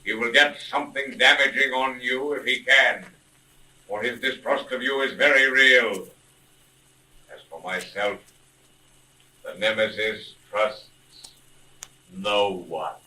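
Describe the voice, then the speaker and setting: An actor playing a mysterious, commanding disembodied voice that speaks in formal, solemn pronouncements in a 1930s radio drama recording. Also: male voice, mid-range voice, fairly even intonation, slow, pauses often, bright, forceful tone, far from the mic, poor audio. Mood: ominous. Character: mysterious, commanding disembodied male voice, ominous avenger.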